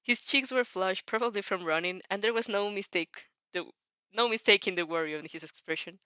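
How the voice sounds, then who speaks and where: Woman, animated story reader, excitable, stumbles over words, bright voice, dry close sound. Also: mid-range voice, brisk, dry recording. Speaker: narrator. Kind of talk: animated amateur fan fiction reading with reactions.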